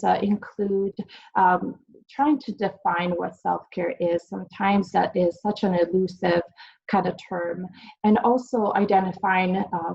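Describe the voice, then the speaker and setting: A woman from Italy, giving a webinar presentation over a video call and filling pauses with frequent ums and uhs. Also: lowish voice, vocal fry, a little mellow, husky. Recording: dull lo-fi video call audio.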